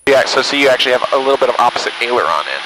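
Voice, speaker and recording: Fast-talking male flight instructor over noisy intercom. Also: medium pitch, sing-song. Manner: encouraging, reassuring. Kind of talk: hands-on instruction with casual talk. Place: small airplane cockpit in flight. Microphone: aviation headset intercom. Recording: noisy cockpit intercom, engine drone.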